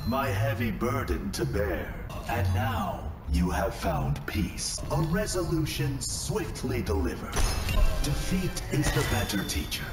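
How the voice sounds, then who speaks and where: An actor playing a serene, wise robot monk in a video game, calm and philosophical. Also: male voice, medium pitch. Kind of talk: video game hero voice lines. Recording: echoey, loud music behind.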